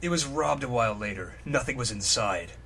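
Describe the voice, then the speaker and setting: A man's voice: mid-range voice, expressive, fairly quick, sharp tone, slight background noise, pitched below the performer's own voice. A man voicing a young male wizard student in a casual, earnest way for a comic story reading. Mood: earnest recalling. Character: young male wizard student, laid-back wrestler hero.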